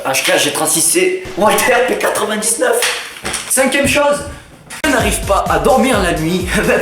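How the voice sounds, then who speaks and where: A teenage boy with a Southern French accent, rattling off jokes about himself in a bright, high voice. The room is echoey, with faint music playing underneath. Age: teens. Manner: joking, self-mocking, lively banter. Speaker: YouTuber. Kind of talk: casual vlog to camera.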